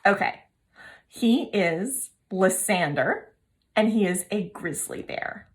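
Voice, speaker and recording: A woman with a mid-pitched voice and animated, swinging intonation speaks as an author. She casually puts a trivia question to viewers. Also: slow. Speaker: children's and young adult author. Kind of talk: casual trivia presentation to camera.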